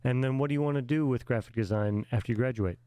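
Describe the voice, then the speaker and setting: A man leads a friendly interview and gives warm encouragement between questions. He talks at an ordinary pitch and sometimes restarts mid-sentence. His voice is recorded close and dry. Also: quick pace, smooth, no echo.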